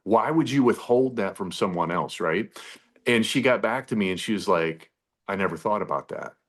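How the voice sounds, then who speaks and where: A man's voice: lowish voice, lively intonation, moderate pace. A middle-aged man telling a personal story over a video call, earnest, acting out the voices of people he quotes. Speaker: partner and guest on a call. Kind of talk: personal testimony.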